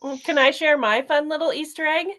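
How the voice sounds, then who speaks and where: A woman with a very high voice co-hosts a casual book chat. She speaks informally and in a friendly way, like a fellow author among colleagues. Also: steady tone, brisk, fairly bright tone. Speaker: book club co-host and author.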